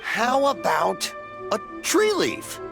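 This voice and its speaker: A cheerful old festival stall keeper, high lively voice, hearty laughs, game music behind. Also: male voice, takes it slowly, creaky, heavily acted. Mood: jovial. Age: older. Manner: hearty, laughs often, generous. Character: jovial older male festival mask vendor. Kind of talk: game cutscene voice acting.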